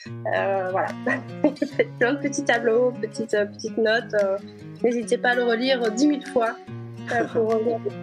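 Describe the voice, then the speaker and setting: A woman's voice: on the high side, a little slow, pauses often, over music. A woman in her forties answering interview questions hesitantly, with many fillers and frequent laughs. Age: 40s. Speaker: guest, a reader of the book.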